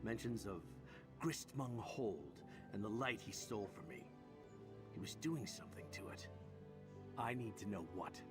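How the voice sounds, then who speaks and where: An actor playing a wry, roguish heroic knight in a fantasy game, with a conversational delivery. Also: male voice, on the low side, animated, measured pace, music in the background. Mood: wry, troubled. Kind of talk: scripted game dialogue.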